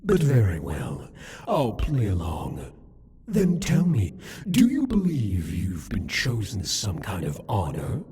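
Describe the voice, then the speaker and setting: A man acts out a cruel demon king for an ASMR roleplay. The voice is very husky and breathy, dark in tone, and moves slowly with wide, theatrical swings of pitch as he toys possessively with the listener. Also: huge pitch swings, full character voice. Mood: cold, haughty. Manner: taunting, condescending, deliberate, theatrical.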